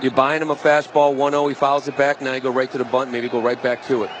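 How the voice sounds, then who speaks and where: A man's voice: mid-range voice, little pitch movement, quick pace, muffled recording. A man giving conversational baseball color commentary on a live broadcast, colloquial and fond of posing questions. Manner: colloquial, poses questions, talks directly to listener. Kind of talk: conversational live sports analysis. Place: ballpark broadcast booth. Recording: noisy live stadium broadcast. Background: clear stadium ambience.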